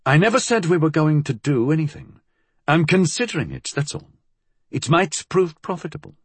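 A man voicing a weary, reserved gentleman crook in an audiobook, speaking in a clipped, guarded, resigned way. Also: a little high, animated, normal speed, slightly rough, no room sound, voice pushed up.

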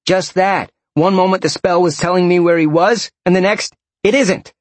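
A man's voice: high voice, normal speed, dry recording, pitched above the performer's own voice, close to an everyday voice. A man voicing an irritable, defensive spell-tracking henchman with a snappish manner in an audiobook story.

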